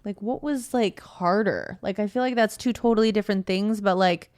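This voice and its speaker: A woman's voice: medium pitch, moderate pace, a little mellow, no room sound. A woman co-hosting a podcast in casual chat, playful and chatty, laughing often. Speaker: podcast co-host.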